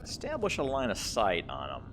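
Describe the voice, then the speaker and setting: A man's voice: lowish voice, wildly swooping pitch, normal speed, background noise. A man giving casual, rambling gameplay commentary, thinking aloud in a conversational way as he plays. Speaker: gamer, let's play host.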